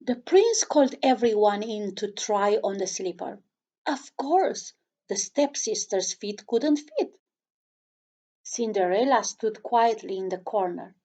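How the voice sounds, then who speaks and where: Slow, lively woman reading to children. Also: mid-range voice. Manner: expressive, chatty, addresses young listeners with questions. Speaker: storyteller reading aloud to children. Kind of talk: animated read-aloud of a children's storybook with asides.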